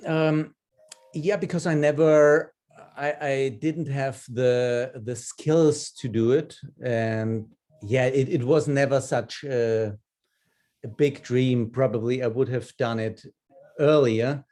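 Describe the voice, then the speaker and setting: Mellow, halting Austrian man in his fifties. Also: mid-range voice, fairly even intonation. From Upper Austria. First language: German. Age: 50s. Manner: hesitant, halting delivery with repeated words. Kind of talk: personal storytelling in an online group session. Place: video call.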